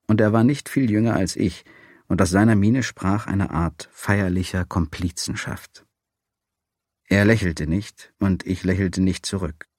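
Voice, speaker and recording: A man's voice: deep voice, a little slow, darkish tone, dry recording. A man reading a first-person memoir as an audiobook, with dry, wry storytelling. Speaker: audiobook narrator.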